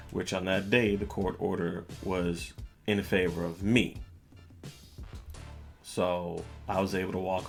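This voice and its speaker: Low-voiced man hosting a podcast over music. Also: gravelly. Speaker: podcast host. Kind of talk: casual storytelling podcast monologue. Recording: music bed under the voice. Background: background music.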